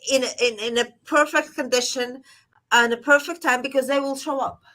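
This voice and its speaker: A woman from Latvia, a surgeon, speaking as an interview guest in a halting way, repeating words as she goes. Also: high-pitched, steady tone, sharp tone, low-quality recording. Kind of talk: interview conversation.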